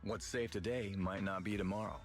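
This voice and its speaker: A self-important retired action star played by a man in an anime-style game. He speaks dryly and deliberately in a fairly low, middle-aged voice. Faint music sits behind him. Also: steady tone, close to an everyday voice. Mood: aloof. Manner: measured, self-important, dry. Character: proud, dry, veteran male kung fu movie star.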